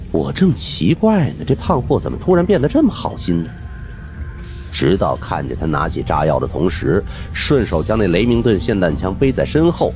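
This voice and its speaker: A man narrating a suspense horror audiobook in an expressive, dramatic storytelling manner. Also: low-pitched.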